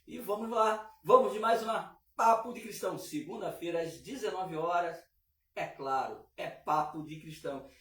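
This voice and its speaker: Hoarse man hosting gospel radio. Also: high voice, normal speed. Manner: warm preacherly, many fillers and repeats. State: hoarse from a cold. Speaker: radio show host. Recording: echoey room, distant mic.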